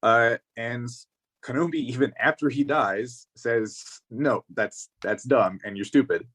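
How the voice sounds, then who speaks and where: A man with a medium-pitched voice lays out his analysis as a podcast panelist. He talks casually and explains step by step, often checking in with his listeners. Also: measured pace, fairly bright tone.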